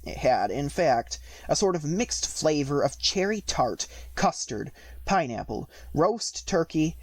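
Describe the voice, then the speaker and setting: Male storybook reader: expressive, animated melody, slightly high pitch, scripted narration. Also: slow. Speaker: audiobook reader.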